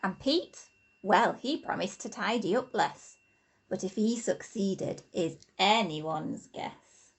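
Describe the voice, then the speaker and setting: Slow, animated woman reading a storybook. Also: mid-range voice, breathy edge. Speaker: infant school teacher reading a story. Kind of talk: expressive children's storybook reading.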